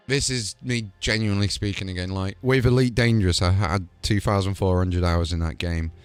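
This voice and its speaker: A man hosting a gaming video in casual, excited chat that rambles along with lots of fillers. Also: low voice. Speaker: host.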